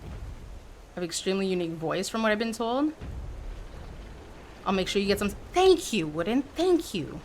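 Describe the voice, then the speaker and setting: A woman's voice: mid-range voice, moderate pace, long pauses, a little hiss or hum. Chatty, playful woman streamer. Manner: chatty and expressive, teasing and playful. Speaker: livestreamer. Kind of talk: casual chat with viewers.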